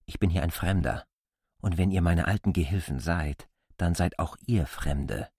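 A man's voice: sits low, moderate pace, mellow, slightly rough, no echo, clean studio sound. An actor playing a stern, commanding male stranger who gives brusque, exacting orders in a literary radio drama.

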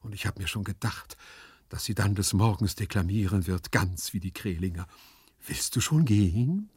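A man's voice: on the low side, moderate pace, darkish tone, breathy edge, no echo, clean studio sound. A man voicing a corpulent, lovesick storybook nobleman who sighs and declaims with theatrical sentimentality. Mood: yearning. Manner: pathetic declamation, sighing, swooning.

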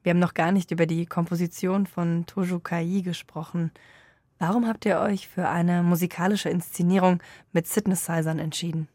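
A woman interviewer putting prepared questions in measured, articulate, formal phrasing. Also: on the low side, steady tone, darkish tone, no room sound.

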